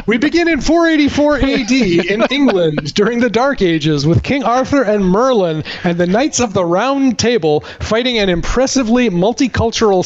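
Male podcast reviewer, high register, sardonic and emphatic comic recapping, slightly muffled recording. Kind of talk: comic movie recap, dramatic reading.

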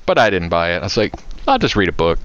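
Lively, low-voiced man chatting. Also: fast talker. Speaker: podcast co-host. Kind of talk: casual podcast chat. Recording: dull, lo-fi podcast audio.

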